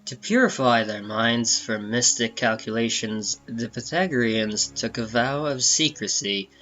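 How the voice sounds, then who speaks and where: A man's voice: medium pitch, unhurried, pushed and bright, highs cut off. A man narrating a comic book dramatically, with theatrical, emphatic phrasing. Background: background music.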